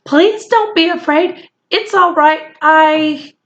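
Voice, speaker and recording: A woman's voice: sits high, takes it slowly, muffled recording, pitched above the performer's own voice. A woman voicing an earnest boy in a storybook, pleading and reassuring with some urgency. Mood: anxious.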